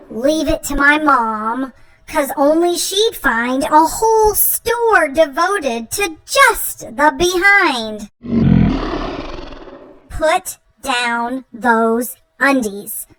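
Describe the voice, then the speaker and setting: A woman voicing a fussy, melodramatic young monster child in a theatrical children's picture book read-aloud, with exaggerated, drawn-out words. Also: high-pitched, a bit bright, pitched above the performer's own voice, heavily acted, poor audio.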